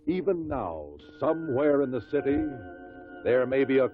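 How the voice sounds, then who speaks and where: An actor playing a grave, measured investigator who addresses listeners in a 1950s radio drama. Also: male voice, mid-range voice, animated, faint music behind, lightly acted. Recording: tinny old radio recording.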